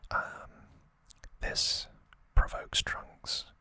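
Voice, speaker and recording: A man whispering a casual ASMR recap in short, hushed, unhurried phrases. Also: no echo. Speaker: ASMR host.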